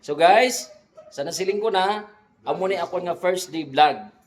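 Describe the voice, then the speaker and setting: A man's voice: high voice, moderate pace, slight background noise. A man with a Western Visayan Filipino accent chatting casually and jokingly as a vlog host, often tacking on tag questions. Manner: jokey, frequent tag questions. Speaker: vlog host, radio drama director. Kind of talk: casual vlog chat introducing colleagues. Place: indoor radio recording room. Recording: echoey room with people chattering.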